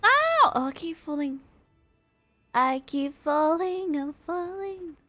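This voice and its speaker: High, bright woman commenting on gameplay. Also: sing-song, slow. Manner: reactive, muttering to herself. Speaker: gaming streamer. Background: game music.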